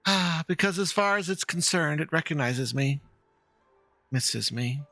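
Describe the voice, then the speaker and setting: A man plays a war-veteran fox woman from a game, wistful and dignified. The delivery is earnest and measured, with a creaky, bright tone. The recording is dry, with light music underneath. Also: fairly high pitch, animated, no echo, heavily acted. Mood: solemn explaining. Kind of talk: dramatic reading of game dialogue.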